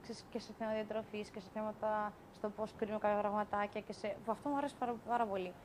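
A woman's voice: mid-range voice, fairly even intonation, a crowd in the background. A young woman, a reality show contestant, earnestly explaining her view and groping for words. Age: young adult. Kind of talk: frank one-on-one talk. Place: indoor kitchen area of a reality TV house.

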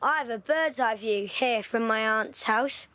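A sharp, watchful little boy in a wartime audio play. He, and tells things in a knowing, secretive way. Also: a little high, unhurried. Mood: eager, knowing. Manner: knowing, storytelling. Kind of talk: audio drama dialogue.

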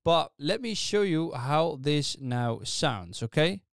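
A middle-aged man casually explaining software step by step as a tutorial host, speaking close into a studio microphone. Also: a little high, expressive, takes it slowly, no room sound. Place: small indoor studio, dry. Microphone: close studio microphone on a stand.

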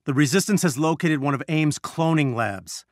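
An actor playing an earnest, brainy scientist and weary resistance leader, giving articulate, measured mission briefings in a video game. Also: male voice, a little high, no room sound, clean studio sound, close to an everyday voice. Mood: grateful, resolute.

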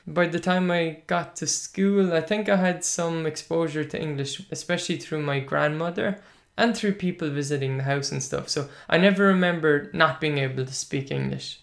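Irish male podcast host, Clare accent, first language Irish, chatty and relaxed, laughs easily. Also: on the high side, gravelly. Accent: Irish, County Clare. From Ireland. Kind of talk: casual solo podcast, reading out comments.

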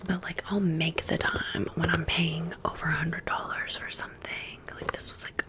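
A woman's voice: low-pitched, level tone, moderate pace. A young adult American woman whispering a casual ASMR storytime close to the microphone, rambling with sassy, emphatic asides. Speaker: ASMR video host.

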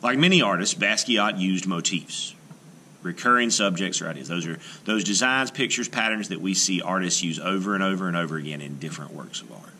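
A man, an art teacher, reading a children's biography book aloud in measured, expressive phrases with deliberate pauses. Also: low voice, a little hiss or hum.

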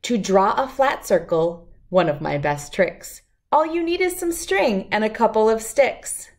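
A middle-aged woman reading a rhyming picture book aloud to children in an expressive, deliberate, lilting way. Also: a little high. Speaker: children's read-aloud host.

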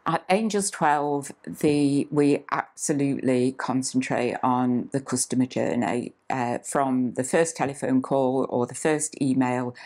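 A woman in her sixties, a clinic manager, talking calmly and steadily with frequent "uh" fillers, seated in a small clinic room. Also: rumbling low. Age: 60s. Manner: calm, measured, with frequent "uh" fillers. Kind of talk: scripted-feel promotional interview talk.

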